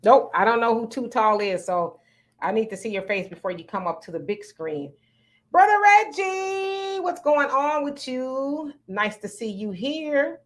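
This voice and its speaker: A woman's voice: on the high side, animated, unhurried. A woman hosting a livestream, chatting frankly and playfully as she reads out viewer comments. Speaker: livestream host. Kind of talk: casual chat reading viewer comments.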